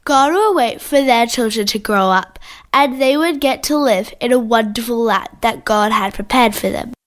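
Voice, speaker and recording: A woman's voice: on the high side, normal speed. A woman with an Australian accent co-narrating a scripted children's story.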